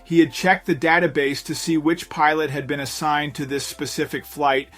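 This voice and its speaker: A man narrating a scripted dramatic story in a measured, suspenseful way. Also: a little high, flat delivery, a bit bright. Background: background music.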